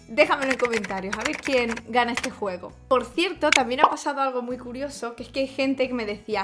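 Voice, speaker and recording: A woman from Spain with a Spanish accent, talking to camera in a casual vlog, expressive and ironic, laughing often. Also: a little high. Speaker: vlogger.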